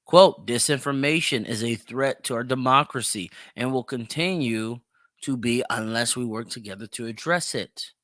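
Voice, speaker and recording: A man's voice: mid-range voice, moderate pace, a bit bright, dry recording, studio quality. A man giving opinionated solo commentary as a podcast host, emphatic and repeating phrases for stress.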